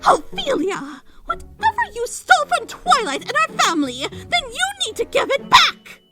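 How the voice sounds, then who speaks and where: Posh-acting fashionista mom pony, super high, dramatic, music behind her. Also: wildly swooping pitch, moderate pace, full character voice. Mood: scandalised. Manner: theatrical, affected, easily scandalised. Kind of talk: animated fan audio drama.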